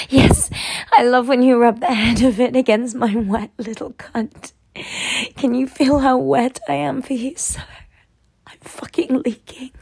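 A woman playing a breathy, pleading young woman. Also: fairly high pitch, a little mellow, full character voice. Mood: begging. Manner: pleading, breathy, often dropping to a whisper. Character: submissive young woman, pleading and eager to please. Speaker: voice actor in an adult audio roleplay. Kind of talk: scripted audio roleplay acting.

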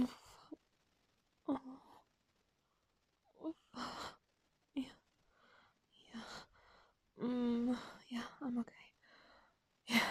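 A woman's voice: on the high side, mellow, slightly rough, no echo. A woman in an intimate erotic audio roleplay, breathless and aroused, whispering between moans.

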